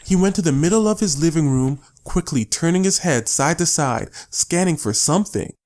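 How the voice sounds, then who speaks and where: A man's voice: on the high side, expressive, normal speed, darkish tone. A man reading scripted story narration as the narrator of an audiobook.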